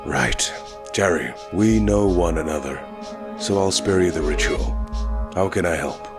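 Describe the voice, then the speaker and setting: Guy doing a deep brooding masked vigilante, terse n glib, comic dub w music under it. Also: measured pace. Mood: guarded, wry. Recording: music bed behind the voice.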